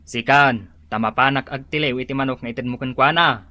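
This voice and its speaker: An actor playing a nosy villager, questioning and teasing in a radio drama. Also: male voice, medium pitch, fairly quick, pushed and bright, highs cut off, close to an everyday voice. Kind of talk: Filipino radio drama acting.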